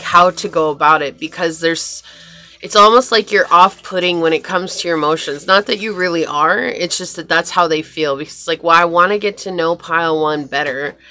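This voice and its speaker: A woman's voice: on the low side, sharp tone. A young woman with a scratchy voice, chatting casually and reassuringly as she gives a tarot reading. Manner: chatty, reassuring, laughs easily. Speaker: tarot reader.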